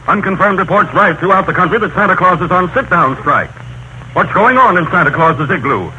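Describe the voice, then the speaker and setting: Sharp, urgent radio newsman. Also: high-pitched, animated, a little fast, muffled recording, full character voice. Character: urgent radio news bulletin announcer. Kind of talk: 1930s radio announcing parody. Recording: noisy 1930s radio recording.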